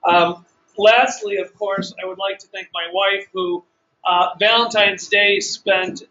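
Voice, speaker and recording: A man with a loud voice hosting a business training seminar in a hotel meeting room, running through the day's plan briskly with many fillers. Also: high-pitched, fairly even intonation. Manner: brisk organizer with filler words. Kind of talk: live seminar talk to a room. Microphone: room recording, mic not working. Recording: dull lo-fi room recording, faint noise.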